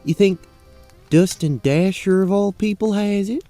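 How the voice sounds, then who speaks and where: An actor playing a dainty, polite Southern belle adventurer with a Southern US accent in a fantasy audio drama. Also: female voice, medium pitch, measured pace, dark tone, no echo, faint music behind, lightly acted. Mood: curious, composed. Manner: genteel, courteous.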